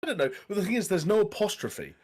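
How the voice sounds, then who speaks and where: A man's voice: sits high, very animated, fast, a little mellow. A man co-hosting a gaming podcast, talking casually and rambling with plenty of fillers. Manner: rambling, chatty, lots of fillers. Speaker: podcast co-host. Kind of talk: casual chat.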